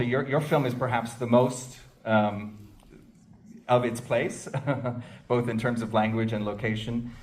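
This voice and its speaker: Low-voiced male moderator, off-mic. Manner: hesitant questioner with fillers. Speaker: panel moderator. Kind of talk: moderating a panel. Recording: distant mic with faint room noise.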